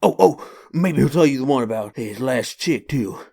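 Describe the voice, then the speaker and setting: A man's voice: medium pitch, animated, moderate pace, vocal fry, warm tone. A joking street drinker acted by a man in a comic dub. He talks in a mid-range voice with folksy, slangy banter, like one of the pals. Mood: jovial, teasing. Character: joking, chummy vagrant drinking with his pals.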